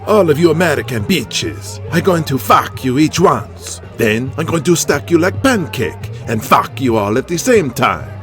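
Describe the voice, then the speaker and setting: An actor playing a boastful, arrogant villain wrestler who speaks in broken English in an audio drama. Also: male voice, fairly high pitch, very animated, a little slow, hardly any pauses, fairly bright tone, faint music behind. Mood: leering gloating.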